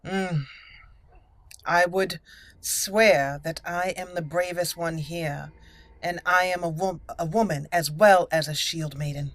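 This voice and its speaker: A woman's voice: lowish voice, steady tone, takes it slowly. A woman hosting a game playthrough, giving commentary and speaking as her roleplayed character. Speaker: video game roleplay host. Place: voice-over with game music behind.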